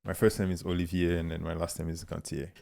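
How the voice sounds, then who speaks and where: Very deep-voiced man. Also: flat delivery, vocal fry, dark tone. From Haiti. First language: Haitian Creole. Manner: halting, searching for words. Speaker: guest artist. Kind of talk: casual conversation.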